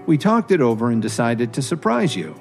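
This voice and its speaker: Man as friendly assured hotelier, lively intonation, audio drama, music. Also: mid-range voice, a little fast. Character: admiring, authoritative male hotel owner friend.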